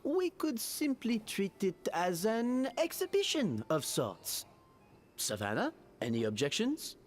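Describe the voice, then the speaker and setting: Brief: a formal, ornate host of a fine restaurant, very animated delivery. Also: male voice, sits high, slow pace, faint music behind, full character voice. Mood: courtly. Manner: flowery, formal, courteous phrasing. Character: courtly middle-aged male restaurant manager, polite and formal. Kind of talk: game voice acting.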